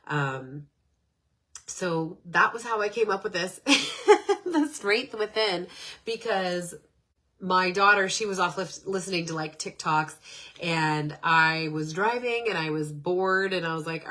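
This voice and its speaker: A woman's voice: on the low side, sharp tone. A middle-aged woman with a stuffed-up nose and a nasal voice, hosting a casual, rambling self-help talk with many fillers. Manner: chatty and rambling, with frequent fillers. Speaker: podcast host.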